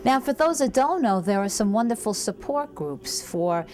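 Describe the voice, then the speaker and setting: A woman's voice: mid-range voice, sing-song, normal speed, darkish tone. A woman hosting a local television interview, putting questions to guests.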